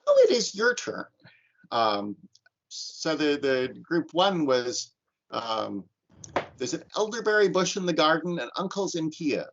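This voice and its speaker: A man's voice: on the high side, very animated, a little slow, a bit bright, muffled recording, slight background noise. A man instructor teaching an online class and leading discussion, talking thoughtfully with frequent restarts and ums.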